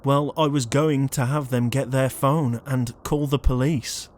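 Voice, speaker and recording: Casting: man as sardonic, put-upon young ghost protector, slow delivery, audio roleplay. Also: medium pitch, steady tone, darkish tone, close to an everyday voice. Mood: reassuring, explaining. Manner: weary, sarcastic, sighing. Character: exasperated young ghost leader, reluctant protector, named Liam.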